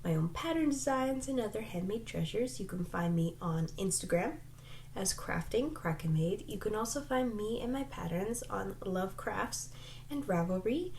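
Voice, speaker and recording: A woman chats casually as the host of a home crafting vlog, her voice stuffy and faintly nasal as she gets over a cold. She rambles in a friendly, self-deprecating way, full of ums and likes, at an average pitch. A faint hiss of room noise sits behind her in a small home room. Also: lively intonation, moderate pace, creaky, darkish tone. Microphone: desk microphone.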